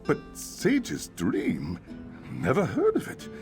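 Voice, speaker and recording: A wildly animated, fussy male innkeeper. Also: on the high side, slow, gravelly, exaggerated voice. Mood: puzzled, hopeful. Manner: animated, grumbling, theatrical. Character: fussy, exasperated male innkeeper eager to help. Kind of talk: scripted video game character acting. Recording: music behind, plucked guitar.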